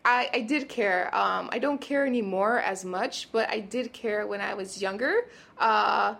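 A woman's voice: mid-range voice, unhurried, vocal fry, a bit bright. A young woman from Brooklyn, a storyteller and comedian telling her life story on a radio interview, laughing often between her words. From Brooklyn, New York. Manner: laughs often, talks with many fillers and restarts. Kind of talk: casual interview talk.

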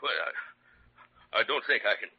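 An actor playing a proud, stubborn old colonel in a 1940s radio drama, husky and hesitant with protesting bluster. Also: male voice, on the low side, expressive, slow pace, exaggerated voice. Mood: stubborn, doubtful. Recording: dull lo-fi old radio recording.

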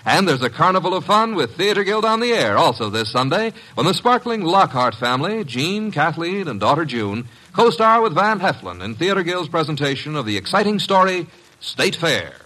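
A man's voice: a little high, big pitch swings, normal speed, bright, forceful tone, poor audio. A man announcing in dramatic, scripted 1950s radio style. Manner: dramatic, declamatory.

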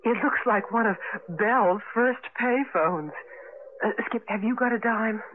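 An actress in a 1980 radio drama playing an anxious, wistful young married woman, expressive and hesitant, on a noisy old broadcast recording. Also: a little high, measured pace, narrow, tinny audio, close to an everyday voice. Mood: anxious, hopeful.

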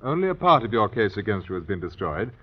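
Husky, animated British inspector. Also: male voice, on the low side, quick pace. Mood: polite, firm. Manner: courteous, hesitant, proper old-chap phrasing. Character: polite, conscientious British colonial police inspector. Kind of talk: old-time radio drama acting. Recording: dull vintage radio recording.

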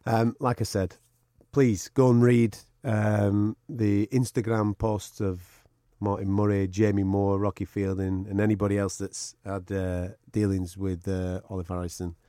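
A man's voice: on the low side, little pitch movement, unhurried, few pauses, warm tone, no echo, studio quality. A man hosting a boxing podcast in casual chat, chatty and swearing freely.

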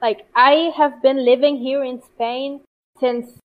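A woman's voice: high voice, steady tone, slow. A woman from Italy whose first language is Italian, speaking English hesitantly with frequent restarts in a casual chat. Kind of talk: casual conversation. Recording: slightly muffled audio.

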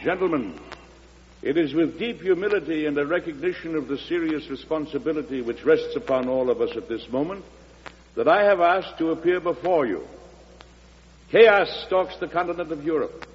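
Actor as solemn President in a reverberant old radio play. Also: male voice, on the high side, natural voice. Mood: solemn, declaiming. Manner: grave, oratorical, measured. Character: solemn, idealistic male American President pleading for peace. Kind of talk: old-time radio drama acting. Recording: muffled old radio recording, reverberant, humming noise.

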